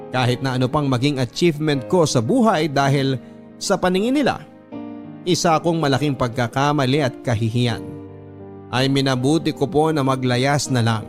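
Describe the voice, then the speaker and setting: A Filipino man narrates a first-person letter in the style of a radio drama. His mid-range voice is earnest and measured, with music playing softly behind it. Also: mid-range voice.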